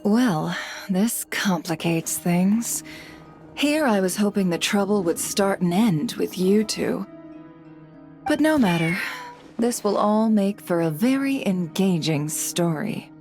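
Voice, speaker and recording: A woman playing a poised, worldly woman in a video game cutscene, speaking in a measured, detached and eloquent way. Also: mid-range voice, animated, a little mellow, faint music behind. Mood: wry, unruffled.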